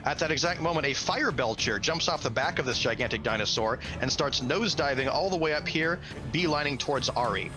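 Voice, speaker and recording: A man's voice: fairly high pitch, expressive, fairly quick. A man acting as game master, narrating a tabletop fantasy game with dramatic storytelling and vocal sound effects. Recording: loud music under the voice. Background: loud music.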